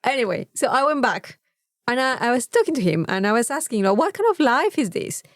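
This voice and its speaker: A woman's voice: fairly high pitch, sing-song, fairly quick, no room sound, studio quality. A woman with a Mexican accent and Spanish as her first language, chatting casually as a podcast co-host. She is effusive and repeats words for emphasis. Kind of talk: casual chat, anecdotes.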